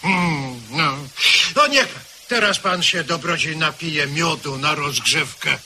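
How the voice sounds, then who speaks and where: A shrewd village parish priest, folksy and coaxing in an old radio drama. His voice is bright and slightly high, and he talks in an unhurried way. Faint hiss runs under it. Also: animated, heavily acted. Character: shrewd rustic village parish priest, middle-aged man. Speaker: actor in a radio play. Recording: old radio play recording with light hiss.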